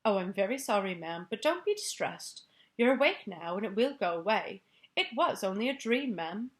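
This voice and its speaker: A timid middle-aged maid, played by a woman reading a storybook aloud. She is deferential and hesitant, and her pitch moves up and down freely. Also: big pitch swings, moderate pace, natural voice. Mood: soothing. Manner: respectful, hesitant, repeats "ma'am".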